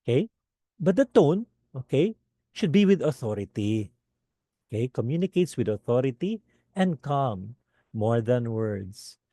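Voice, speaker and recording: A man with a Filipino accent lectures teachers in a webinar, his low voice mellow and relaxed. He checks in with "okay?" again and again and keeps an animated delivery. The sound is dry and close, with no room echo. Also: a little slow. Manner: animated, repeats "okay?" often. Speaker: teacher trainer.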